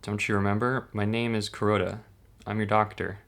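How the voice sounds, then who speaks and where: A man playing a measured hospital doctor in a horror manga dub, with a reserved, clinical manner. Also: fairly low pitch, level tone, vocal fry, fairly bright tone. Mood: calm, clinical.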